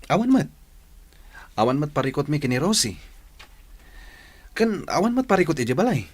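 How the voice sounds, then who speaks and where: A man's voice: on the high side, sing-song, moderate pace. A man acting a troubled young man who hides his worries, in a radio drama, with an Ilocano Filipino accent. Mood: troubled, evasive.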